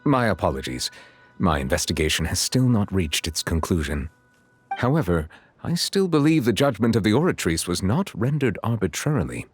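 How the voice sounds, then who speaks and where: A dignified chief judge in a fantasy video game. His voice sits low, and he speaks with solemn courtesy in measured, erudite phrases. Soft music plays underneath. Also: sing-song. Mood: grave. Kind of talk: scripted game dialogue.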